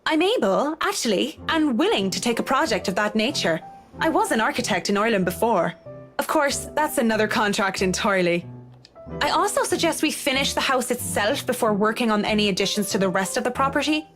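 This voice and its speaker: A courteous, slightly frayed professional woman in a visual novel. Her voice sits on the high side, and her phrasing is formal and measured. Music plays underneath. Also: expressive, fairly bright tone. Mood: polite, businesslike. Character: Marianne, polite but frayed female interior designer. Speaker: interior designer character.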